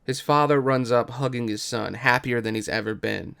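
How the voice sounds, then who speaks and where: A man hosts a storytelling podcast and reads his script as narrator. His voice has an average male pitch. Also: normal speed, gravelly, a bit bright, smooth. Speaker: podcast host and writer, narrator.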